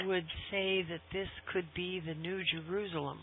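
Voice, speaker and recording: A woman hosting a casual talk radio conversation, speaking haltingly with thoughtful pauses. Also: on the low side, steady tone. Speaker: radio show host. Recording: tinny phone-like line, humming noise.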